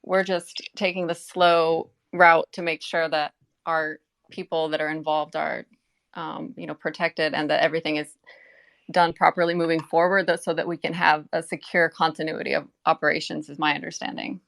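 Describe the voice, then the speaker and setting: Woman, bit low n croaky fry, informal, clarifying stuff in a casual interview. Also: level tone, moderate pace, a bit bright. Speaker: community project representative being interviewed.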